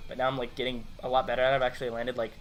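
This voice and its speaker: A man's voice: medium pitch, level tone, very fast. A man co-hosting a podcast, chatting informally and enthusiastically about video games. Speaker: podcast co-host. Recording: music playing behind the talk. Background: background music.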